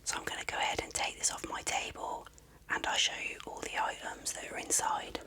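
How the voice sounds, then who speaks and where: Whispering ASMR presenter, unvoiced and breathy. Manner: soft-spoken, unhurried, explanatory. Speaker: video presenter. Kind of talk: ASMR whispered unboxing narration.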